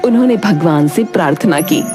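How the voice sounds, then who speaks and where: A woman's voice: lowish voice, animated, fairly quick. A woman narrating a scripted children's story as the storyteller. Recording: background music under the voice.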